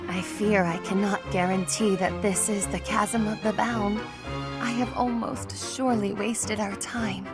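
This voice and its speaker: A woman's voice: mid-range voice, steady tone, measured pace, over loud music, close to an everyday voice. A woman playing a formal, self-abasing young noblewoman mage in a video game, with ornate, apologetic phrasing. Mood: abject, gloomy. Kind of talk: English video game voice acting.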